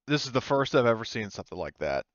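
A man's voice: mid-range voice, big pitch swings, fast, no echo, lo-fi sound, lightly acted. A man playing a frank female colony administrator. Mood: businesslike.

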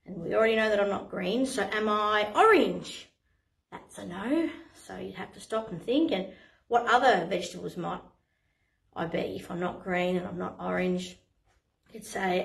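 A woman's voice: medium pitch, creaky. Chatty Australian woman in an echoey playroom. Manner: chatty and encouraging, many ums. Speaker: playgroup facilitator. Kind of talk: explaining games to parents on camera. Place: playroom with a screen, indoors. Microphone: camera a few steps away. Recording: echoey room.

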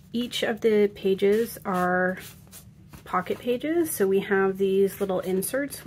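A woman calmly narrating a walkthrough of a handmade album, explaining each step with fillers. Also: mid-range voice, a little hiss or hum. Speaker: craft video creator. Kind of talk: casual craft walkthrough narration.

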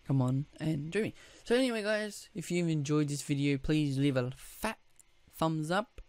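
A man with a croaky, just-woken-up voice, giving casual gameplay commentary, rambling with restarts and throat clearing. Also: on the high side, normal speed, a little mellow, dry recording. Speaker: gaming YouTuber.

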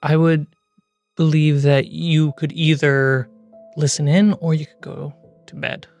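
A man narrating a tabletop fantasy game as game master, in a measured, suspenseful storytelling manner. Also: a little high, level tone, darkish tone, faint music behind.

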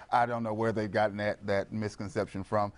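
A man's voice: on the low side, little pitch movement, normal speed. An American man, an etiquette expert, explaining and advising as a studio panel guest.

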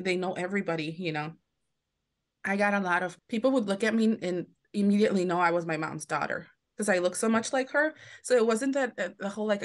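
A woman guest on a podcast, talking at length in a casual, storytelling way. She restarts her sentences often and slips into the voices of the people she quotes. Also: lowish voice. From United States. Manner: chatty, many restarts, acts out quoted voices. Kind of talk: casual interview chat.

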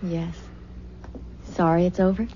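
An actress playing a tender young newlywed wife in a 1970s radio drama. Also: female voice, fairly low pitch, flat delivery, a little slow, mellow, natural voice. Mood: playful, happy. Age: young adult. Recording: muffled, noisy old radio broadcast.

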